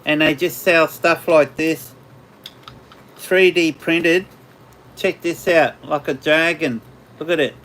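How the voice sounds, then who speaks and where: An Australian man hosting a casual livestream, chatting with viewers in a rambling way, his voice slightly hoarse after hours of talking. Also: a little high, fairly even intonation, takes it slowly, long pauses, a bit bright, a little hiss or hum. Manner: rambling, chatty, laughs often. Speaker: livestream host. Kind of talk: casual live chat with viewers.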